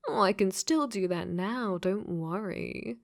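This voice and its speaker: Give the slow, lingering voice of a possessive yandere girl from a dating game, acted by a woman. She is an AI character speaking softly in ASMR roleplay, coaxing and teasing, sometimes sinking to a whisper. Her sweetness is clingy and controlling. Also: mid-range voice, expressive, vocal fry. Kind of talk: soft ASMR audio roleplay.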